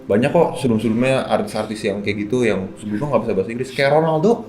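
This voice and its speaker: A man's voice: on the low side, very fast, a little mellow. A man in his twenties with a Jakartan Indonesian accent, asking and commenting in a casual conversational way as host. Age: 20s. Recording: echoey room with music underneath.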